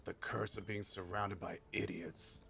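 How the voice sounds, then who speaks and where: An actor voicing a mocking, gravelly ageing male scavenger in a fantasy game. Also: lowish voice, little pitch movement, measured pace, sharp tone, a little hiss or hum, exaggerated voice. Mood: scornful. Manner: gruff, sardonic, mocking. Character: crazy-seeming ageing male scavenger, scornful former legend. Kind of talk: fantasy video game acting.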